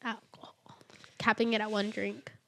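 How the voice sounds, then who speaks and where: A woman's voice: mid-range voice, flat delivery, creaky, pushed and bright. A woman in her early twenties, a podcast guest, talking about faith and motherhood in a casual way, with lots of "like" fillers and easy laughter. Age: early 20s. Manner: chatty, many "like" fillers, quick to laugh.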